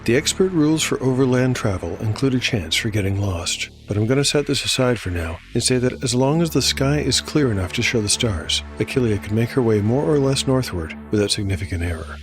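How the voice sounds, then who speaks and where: A man's voice: medium pitch, expressive, fairly quick, hardly any pauses. A man narrating a scripted fantasy tale as the storyteller and game master. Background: music bed.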